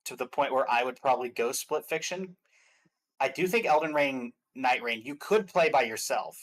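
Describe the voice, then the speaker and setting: A man's voice: medium pitch, moderate pace, rough recording. A man chatting casually and giving his opinions as a guest commentator on games. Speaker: guest commentator on game awards stream.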